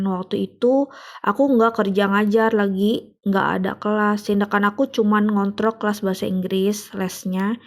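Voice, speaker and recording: Chatty Indonesian woman giving a devotional. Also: mid-range voice. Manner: chatty, asks listeners questions, laughs often. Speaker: devotional speaker. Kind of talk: casual spoken devotional.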